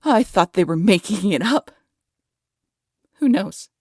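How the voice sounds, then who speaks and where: A woman playing a proud, vengeful demon queen in an audio roleplay, theatrical and menacing, often dropping to a breathy hush. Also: mid-range voice, animated, slow pace, dry recording, clean studio sound, full character voice. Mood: bitter, defiant. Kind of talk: scripted audio roleplay addressed to listener.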